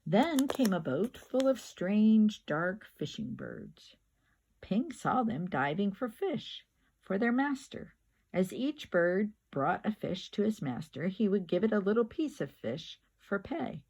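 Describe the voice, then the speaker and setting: An older woman with a husky voice narrates a children's story at a very unhurried pace. She reads expressively, stretching out words and leaning on repeated phrases, as a grandmother would. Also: medium pitch. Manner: slow, expressive storytelling with drawn-out words and emphatic repetition. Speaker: grandmother reading aloud. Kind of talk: children's picture book narration.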